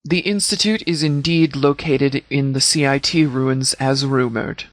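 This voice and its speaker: A man voicing a stern, commanding sheriff in a video game, giving a formal military briefing with measured, authoritative words. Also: medium pitch, fairly even intonation, a bit bright, no room sound, close to an everyday voice. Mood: matter-of-fact, authoritative. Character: stern, commanding male sheriff briefing his forces before battle. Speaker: sheriff, leader.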